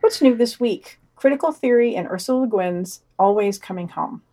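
A woman's voice: mid-range voice, normal speed, warm tone. A woman hosting a podcast, reading news summaries with dry, sardonic asides. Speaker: podcast host.

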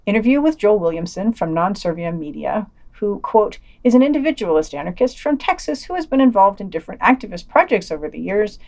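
A woman's voice: mid-range voice, very animated, fairly quick, hardly any pauses, a little mellow. A woman reading scripted podcast reviews with a wry, lightly sardonic manner.

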